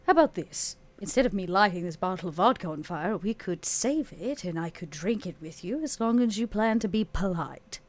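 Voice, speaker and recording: A woman's voice: medium pitch, expressive, moderate pace, hardly any pauses, natural voice. A woman voicing a bold, haughty female vampire in a tabletop game, wry and coolly threatening.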